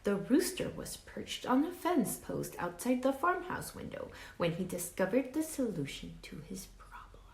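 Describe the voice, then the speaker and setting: A woman reading a children's picture book aloud expressively, with dramatic emphasis and hushed asides, pausing to question young listeners like a teacher. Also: a little high, sing-song, creaky. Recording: roomy echo with faint background noise.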